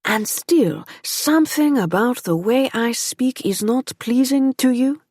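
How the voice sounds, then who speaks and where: Lady doing a smooth french-accented gent, cool and teasing, dry close sound. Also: fairly high pitch, unhurried, darkish tone, no echo, pitched above the performer's own voice. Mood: cool, needling. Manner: cool, mocking courtesy.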